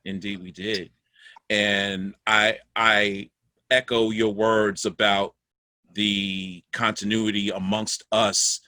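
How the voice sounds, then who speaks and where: A middle-aged man, an actor moderating a casual panel over a video call in measured, slightly formal wording. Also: fairly low pitch, flat delivery, sharp tone, no room sound.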